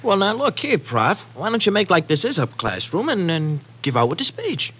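A man's voice: sits high, wildly swooping pitch, normal speed, fairly bright tone, full character voice, low-quality recording. An actor playing a brash, slangy young tough guy in an old-time radio drama, blunt and eager. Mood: breezy. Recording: vintage radio broadcast, slightly rough.

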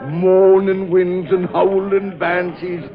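A man's voice: high voice, exaggerated voice. An actor playing a scoffing, jovial Irish newspaperman in a radio drama, with an Irish accent and a blustery teasing manner. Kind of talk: old-time radio drama acting. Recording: dull old radio recording, crowd noise.

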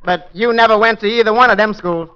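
A man's voice: sits high, fast, smooth, lightly acted. An actor playing a droll, skeptical waiter who needles his boss in folksy grammar, in a 1940s radio comedy. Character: dry, skeptical male waiter puncturing his boss's bragging. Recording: dull, lo-fi 1940s radio recording.

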